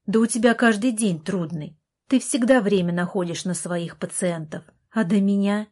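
A woman's voice: mid-range voice, normal speed, a little mellow, slightly rough, natural voice. Lady reading as grumpy 13 year old boy, snide and snappy, audiobook. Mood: resentful. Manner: sarcastic, snappish.

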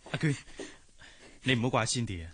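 An actor playing a protective, well-off young employer in a radio drama, earnest and considerate, with a Hong Kong accent. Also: male voice, medium pitch, lively intonation, a little fast, a bit bright, husky. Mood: reassuring. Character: kindly young wealthy gentleman, protective employer. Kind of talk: Cantonese radio drama acting.

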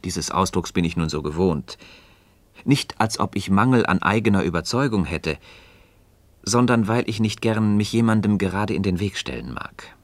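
An actor playing a calm, cryptic Freemason in a radio dialogue, speaking formally and deliberately. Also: male voice, sits low, no room sound. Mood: earnest, assured. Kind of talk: German radio play dialogue.

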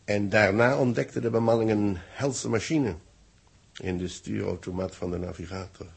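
An authoritative narrator in an old 1960s radio play. His low voice is notably rough and husky, and he explains everything in measured, formal phrases. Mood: explanatory. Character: authoritative man dictating the story of a space mission. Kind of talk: 1960s radio drama acting.

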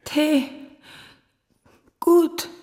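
An actress playing a timid, starving young girl with an Arabic accent in a radio play, speaking in halting single words. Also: mellow. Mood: fearful, pleading. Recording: reverberant hall.

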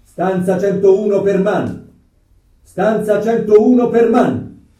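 An actor playing a stern prison guard in a radio drama, giving clipped, official orders to prisoners. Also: male voice, sits high, slow, warm tone. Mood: loud, commanding. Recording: roomy, distant.

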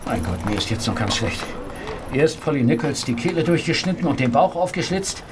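An actor playing a dutiful, blunt Victorian street constable in a radio play, reporting formally. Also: male voice, medium pitch, big pitch swings, fairly quick, background noise, close to an everyday voice. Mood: dutiful, shaken. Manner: formal reporting, deferential to superiors. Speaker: actor in an audio drama. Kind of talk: German radio play acting.

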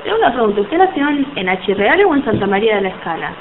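A woman's voice: medium pitch, big pitch swings, very fast, phone-quality sound, a little hiss or hum. Woman interviewer, brief affirming replies. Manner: brief supportive backchannels. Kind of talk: oral history interview.

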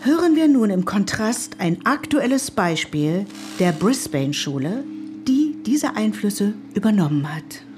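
Cool irritable mother, formal lecturer, measured didactic phrasing, mid-pitched, radio play, music behind. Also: big pitch swings. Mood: formal lecturing. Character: Vashti, detached, irritable mother and lecturer in machine-run future. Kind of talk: German radio play acting.

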